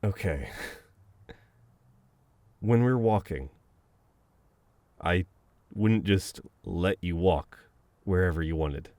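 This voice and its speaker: A man playing a flustered, teasing, protective best friend in an intimate, conversational audio roleplay, with halting banter. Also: deep voice, a little mellow, dry recording. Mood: teasing, gently firm.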